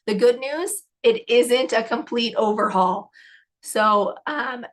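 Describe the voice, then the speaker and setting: A woman's voice: fairly high pitch, takes it slowly. A woman hosting a live nursing webinar, upbeat and reassuring, her voice scratchy because she is losing it. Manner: upbeat, reassuring, filler-heavy.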